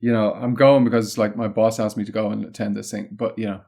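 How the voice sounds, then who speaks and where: Low-voiced Irish man in conversation. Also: little pitch movement, quick pace, vocal fry, dark tone. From Ireland. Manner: discursive, thinking aloud. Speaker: podcast guest, content marketing consultant. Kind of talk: casual conversational interview.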